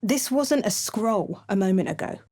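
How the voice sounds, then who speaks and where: A woman playing a wry, determined adventurer in a fantasy video game, speaking in a measured, theatrical way. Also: mid-range voice, expressive, warm tone, dry recording, studio quality. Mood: wry, resolute. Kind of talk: video game voice lines, dramatic narration and incantations.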